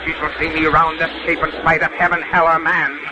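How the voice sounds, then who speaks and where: A man's voice: a little high, flat delivery, brisk, lightly acted. An arrogant sea captain, acted by a man rules his ship in a raised, commanding voice. He speaks in archaic, theatrical phrases full of scorn and defiance. It is a noisy vintage radio drama. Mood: defiant. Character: arrogant, raging, blasphemous Dutch sea captain. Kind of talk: 1930s radio acting. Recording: noisy old radio recording.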